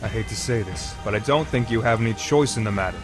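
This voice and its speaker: A man's voice: on the low side, fast talker, over loud music, close to an everyday voice. A man voicing a smug, scheming teenage boy in an anime fan dub, wordy and theatrical. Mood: ominous. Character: scheming, smooth teenage boy angling for student council president.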